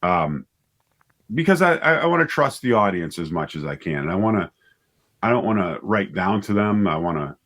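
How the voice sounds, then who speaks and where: A man being interviewed as a writer and director, explaining things casually and haltingly, often rephrasing himself as he goes. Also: sits low, sing-song, a bit bright. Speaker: interview guest, writer and director of audio dramas.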